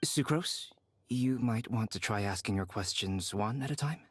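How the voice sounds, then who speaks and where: A man voicing a calm, gentle young intellectual in a video game, composed and polite. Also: fairly low pitch, normal speed, breathy edge.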